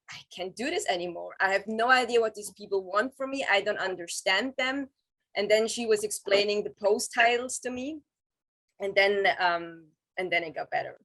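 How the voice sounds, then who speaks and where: Swiss German woman, hesitant and halting. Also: mid-range voice, a bit bright, low-quality recording. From Switzerland. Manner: hesitant, many "um" pauses, searching for words. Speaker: interview guest. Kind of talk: casual interview over video call.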